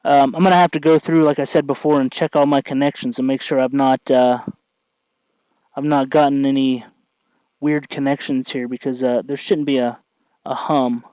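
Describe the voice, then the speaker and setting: A man hosting a casual hands-on tutorial, thinking aloud in a halting way as he works. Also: mid-range voice, level tone, a little mellow, no room sound, dull audio. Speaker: tutorial host, hobby electronics maker.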